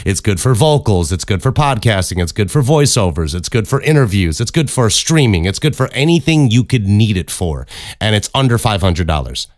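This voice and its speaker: A man with a deep voice hosts a casual solo podcast, giving advice in a blunt, emphatic way with plenty of swearing, close on a studio microphone. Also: no echo. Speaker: podcast host and voice actor. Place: acoustically treated home recording room. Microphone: close studio condenser microphone.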